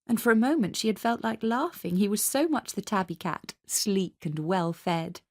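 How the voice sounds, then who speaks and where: A woman's voice: medium pitch, expressive, normal speed, darkish tone. A woman with a British accent, narrating a literary story for radio in a dramatic style. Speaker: story reader.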